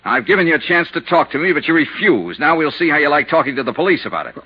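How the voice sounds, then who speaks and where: Blunt, husky hard-boiled investigator. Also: male voice, a little high, very animated, rapid-fire. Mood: stern, accusing. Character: tough, persistent male insurance investigator narrating his case. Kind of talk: 1950s radio drama acting and narration. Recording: muffled vintage 1950s radio recording.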